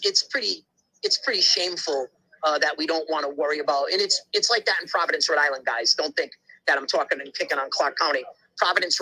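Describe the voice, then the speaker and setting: Forceful, husky-voiced man rambling emphatically. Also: a little high, low-quality recording. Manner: rambling, emphatic storytelling with many asides and restarts; blunt, occasional swearing. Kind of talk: casual conversation.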